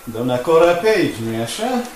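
An actor playing an insinuating, mock-polite male newspaper reporter who needles and threatens smoothly in a radio play. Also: fairly high pitch, sing-song, noisy background. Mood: smooth, probing. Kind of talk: 1980s radio play acting.

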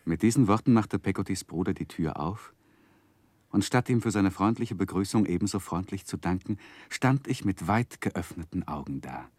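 A man narrating a 1960s radio play as a literary storyteller, measured and expressive. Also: low voice, dry recording.

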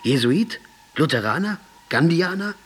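An actor in a German radio play voicing a fervent, mocking revolutionary commander with a crude mouth. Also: male voice, a little high, lively intonation, measured pace, slightly rough. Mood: scornful provocation. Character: fervent, defiant Latin American guerrilla commander and revolutionary. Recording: noticeable background noise.

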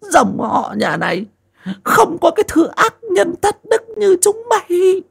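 A man puts on a righteous old mother, her voice pushed up very high into shrill, wide-swinging cries. Her tone is gravelly and husky as she scolds and wails in a told storybook. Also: huge pitch swings, slow pace, heavily acted. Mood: furious. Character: stern grieving old mother, righteous and furious.

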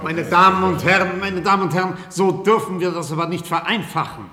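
A man's voice: sits high, brisk, pushed and bright. A man playing a defensive, blustering clinic professor in a 1970s radio drama, emphatic and pompous, repeating himself. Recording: echoey hall with long reverb.

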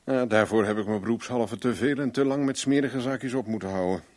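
Radio drama private eye, male actor: mid-pitched, shrewd and self-assured, probes bluntly with dry wit. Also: fairly quick, a little mellow, lightly acted. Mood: knowing, pressing. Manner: probing, wry, plain-spoken. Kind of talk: Dutch radio play acting.

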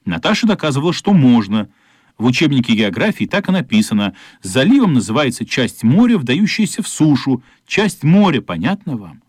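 An actor narrating a children's radio play as a thoughtful storyteller, in an expressive, lyrical literary manner. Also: male voice, medium pitch, normal speed, breathy edge, no room sound, clean studio sound. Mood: warm, amused.

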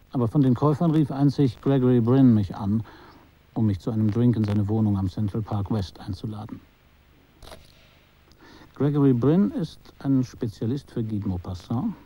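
A man acting a detached photographer narrating a literary radio drama. His voice is very dark and muted, with a husky grain. He recounts things in a measured, matter-of-fact way, watching more than feeling. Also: medium pitch, muffled recording, lightly acted. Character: detached, observant male art photographer recounting his encounters. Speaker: narrator and main character of a radio play.

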